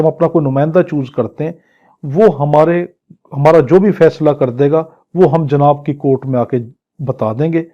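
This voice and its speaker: A man's voice: a little high, mellow, muffled recording. A Pakistani man giving an exam-prep law lecture in a didactic way, repeating phrases and posing questions that he then answers. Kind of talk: exam-prep lecture, reading English legal text and explaining it in Urdu.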